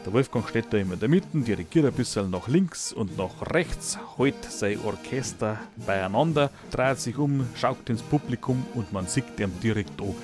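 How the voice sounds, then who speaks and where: Bavarian man, radio feature reporter, folksy and lively, mid-pitched, faint brass music underneath. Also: normal speed. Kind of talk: radio feature narration and interviewing.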